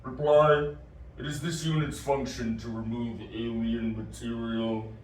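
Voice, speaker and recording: A man's voice: medium pitch, little pitch movement, unhurried, close to an everyday voice. A man playing a programmed alien construct in a science fiction audio drama, formal, stilted and literal-minded. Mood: cold, detached. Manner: stilted, announces each reply type before speaking. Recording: echoey room, distant mic, faint noise.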